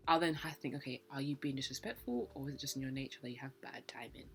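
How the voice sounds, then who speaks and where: A young woman hosting a casual podcast chat, thinking out loud in a reflective, emphatic way. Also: extremely low pitch, lively intonation, racing, creaky, slight background noise. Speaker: podcast host.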